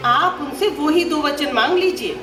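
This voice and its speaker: A woman's voice: sits high, normal speed, natural voice. A woman playing a scheming maidservant who goads her queen in an urgent, insinuating way in a theatrical mythological drama. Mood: sly. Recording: echoey, distant playback with loud music.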